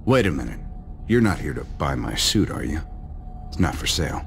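An actor playing a cocky, sardonic wealthy weapons inventor in a video game, with music behind him. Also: male voice, extremely low pitch, big pitch swings, moderate pace, creaky, heavily acted. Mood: exasperated, sarcastic.

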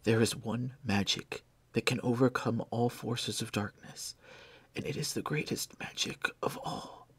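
A man voicing a dying young man who speaks in a weak, breathy whisper, tender and remorseful. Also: high voice, sing-song, moderate pace, hardly any pauses, dry recording, pitched above the performer's own voice, heavily acted. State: gasping, weak.

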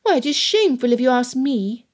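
A woman narrator acts out a brash youngest daughter in a Regency story. She is a self-centred teenager, whining and petulant, with the pitch pushed up. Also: expressive, fairly quick. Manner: whining, blurting loudly.

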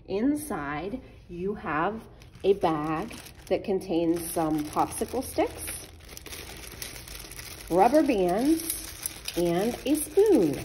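A woman's voice: medium pitch, mellow, slight background noise, poor audio. A woman giving patient, simple step-by-step craft instructions for children, as a children's librarian would. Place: indoors at a wooden table.

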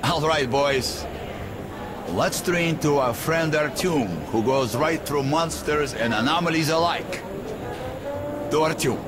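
A man's voice: on the high side, slow. An actor playing a hearty, coarse male caravan fighter who drinks and boasts in a video game. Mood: hearty, tipsy. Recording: music under the voice.

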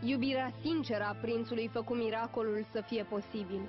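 A woman narrates a fairy-tale cartoon from a script, in a fairly high voice. The recording is dull and lo-fi, with music clearly playing behind her. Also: fairly even intonation.

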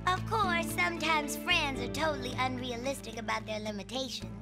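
A woman voicing a sharp-tongued, teasing young girl with a dry manner. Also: high-pitched, normal speed, loud music behind the voice, close to an everyday voice.

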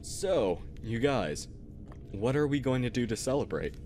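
A man's voice: mid-range voice, big pitch swings, measured pace, darkish tone. A man playing a jovial, reassuring astronaut, talking casually in an audio roleplay drama. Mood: playful. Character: upbeat, joking, reassuring male astronaut. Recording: faint noisy ambience.

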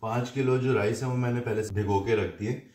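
A man's voice: mid-range voice, a little fast. A young man hosting his cooking channel, casually explaining a recipe in a home kitchen and slipping English phrases into his speech. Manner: mixes Hindi-Urdu with English phrases, relaxed and chatty. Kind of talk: casual cooking demonstration, voice-over.

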